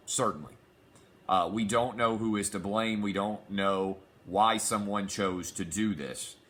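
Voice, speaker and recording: Hoarse, forceful male sports talk host. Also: on the low side. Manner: confident, emphatic, reads ads fluently. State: sick, losing his voice. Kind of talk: solo talk show monologue.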